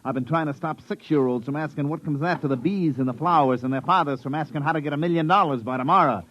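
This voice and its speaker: A man's voice: fairly high pitch, quick pace, fairly bright tone, lightly acted. An actor playing a harried, wisecracking repairman in a 1950s radio drama. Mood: weary, irritated. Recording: dry, dull old radio recording.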